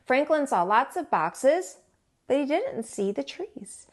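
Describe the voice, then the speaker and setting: A woman reads a children's picture book aloud as a teacher would. Her voice sits on the high side and moves up and down in lively swoops. She tells it expressively, putting feeling into the characters' talk. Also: slow. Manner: animated, acts out the dialogue. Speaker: teacher reading a storybook aloud.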